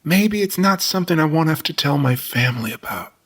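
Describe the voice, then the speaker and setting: An actor playing a shifty, guarded police officer in an audio drama, terse and evasive. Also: male voice, a little high, lively intonation, brisk, a bit bright. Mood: defensive. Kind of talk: naturalistic audio drama dialogue.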